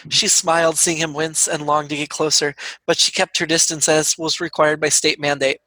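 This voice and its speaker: A man's voice: on the high side, level tone, moderate pace, sharp tone, no room sound, rough recording. A man reading a scripted comic story aloud to listeners, as its writer and performer. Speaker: comedy troupe writer reading own story.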